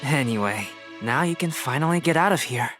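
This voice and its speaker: A man's voice: medium pitch, animated, brisk, fairly bright tone, lightly acted. Guy acting a young detective in a video game, earnest, sure of himself, mid voice, music behind. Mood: calm, firm. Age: young adult. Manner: firm, methodical explaining.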